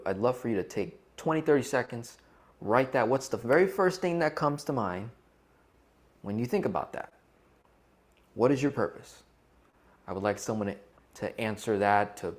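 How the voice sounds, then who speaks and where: A man co-hosting a casual, interactive coaching talk online, putting questions to his listeners and often checking in with them. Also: lowish voice. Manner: conversational, asks questions and checks in with "right?". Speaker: co-host leading an interactive online seminar. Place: live video call session.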